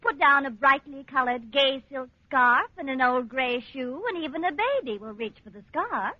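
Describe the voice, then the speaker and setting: A woman's voice: sits high, animated, normal speed, no room sound. An actress playing a smug, catty woman teacher with sugary, arch condescension in a 1940s radio comedy. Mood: sweetly catty. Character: catty, smug, affected female teacher, a romantic rival. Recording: muffled noisy radio recording with audience.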